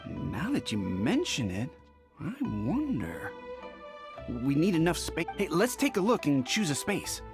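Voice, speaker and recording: A woman's voice: deep voice, sing-song, unhurried, heavily acted. A woman playing a wry, gracious and capable innkeeper in a video game. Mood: helpful. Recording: music under the voice.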